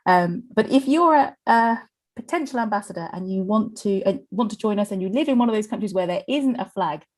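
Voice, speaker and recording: A woman's voice: medium pitch, lively intonation, a little fast. Chatty woman hosting a webinar. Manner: chatty, with many fillers and small laughs. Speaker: webinar host from the company. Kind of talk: presenting a webinar.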